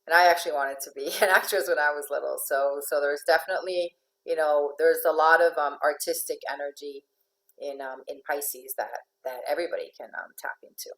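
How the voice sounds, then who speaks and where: Low-voiced chatty woman coach. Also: steady tone, fairly quick, rough recording. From Finland, raised in Venezuela. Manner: chatty with many fillers and restarts, laughs often. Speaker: astrological life coach. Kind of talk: informal talk to an online audience.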